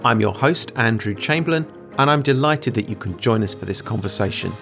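A man hosting a faith podcast, reading a scripted intro and then chatting with a guest in an earnest, measured way. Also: lowish voice, expressive, gravelly, fairly bright tone, faint music behind. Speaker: podcast host.

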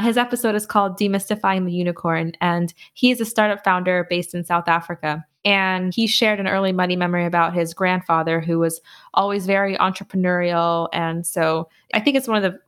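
A young woman answering interview questions casually, telling animated stories with lots of "like" fillers. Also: mid-range voice. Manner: animated storyteller with frequent "like" fillers and laughs. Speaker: podcast host and finance blogger, interview guest.

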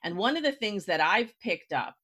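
An American woman speaks as a podcast host in relaxed back-and-forth conversation. Her voice sits at a medium pitch. She explains things with emphasis, working through examples as she goes. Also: moderate pace, husky. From United States. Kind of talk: conversational interview about writing.

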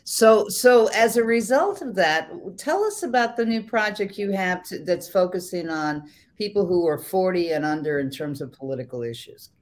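A woman hosting an interview, asking questions in a halting way with frequent restarts. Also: lowish voice, animated. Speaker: host. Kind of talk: interview host.

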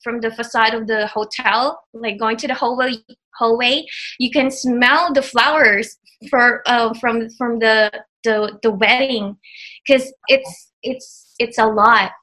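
A woman's voice: fairly high pitch, fairly even intonation, slow, bright, forceful tone, poor audio. A woman in her thirties from the Philippines whose first language is Tagalog, speaking with a Filipino accent in a casual interview, hesitant with many fillers and restarts. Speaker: graduate of an online program.

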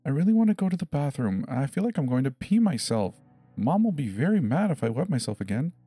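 A man's voice: a little high, very animated, fast talker, dark tone, husky, faint music behind, pitched above the performer's own voice. A man voicing a scared seven-year-old boy in a dramatized horror story, hesitant and pleading, stammering over his words. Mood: frightened, pleading.

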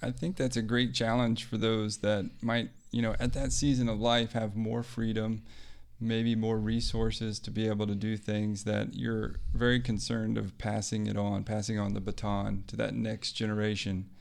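Flat, gravelly male podcast host. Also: on the low side, moderate pace, few pauses. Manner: steady, flat delivery, affirming. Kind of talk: interview hosting.

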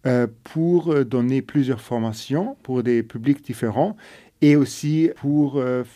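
A man with a Luxembourgish accent hosting a radio interview podcast from a studio, with halting delivery and self-corrections. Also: medium pitch, dark tone, no room sound. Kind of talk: interview and show introduction.

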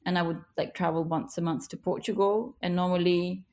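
A woman in her thirties, a native speaker of Russian and Ukrainian, answering interview questions in an earnest, encouraging way with slow, emphatic phrasing. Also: on the low side, fairly even intonation, a little mellow. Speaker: guest, author and business leader.

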